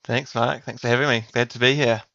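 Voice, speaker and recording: A male podcast guest talks casually with a New Zealand accent. His voice is bright and sharp at an average pitch. The sound is close and dry but a little band-limited. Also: fairly even intonation, fairly quick, vocal fry, no echo, highs cut off. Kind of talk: casual interview chat.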